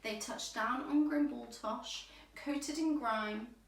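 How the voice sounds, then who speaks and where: Unhurried woman reading a bedtime story. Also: a little high, creaky, a little hiss or hum. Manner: deliberate, expressive, measured phrasing. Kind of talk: rhyming bedtime story read aloud for children. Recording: roomy echo, distant microphone.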